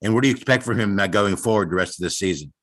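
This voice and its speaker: A man's voice: fairly low pitch, very fast. A man speaking as a reporter, putting a question on a conference call.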